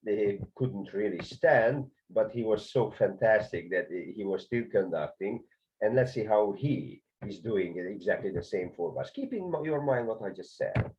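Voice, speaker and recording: A man's voice: on the low side, animated, unhurried, darkish tone, slight background noise. A man with a Hungarian accent explaining conducting in a video lesson, hesitant, with many filler sounds and restarts. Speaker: conducting teacher. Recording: dull lo-fi sound.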